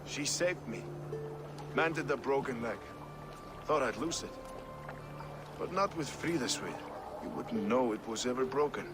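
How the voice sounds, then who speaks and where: An actor playing a rough, sardonic, weary medieval man in a video game, with a wry, blunt and expressive delivery. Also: mid-range voice, unhurried, pauses often, over music, lightly acted. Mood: mournful. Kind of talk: dramatic video game dialogue.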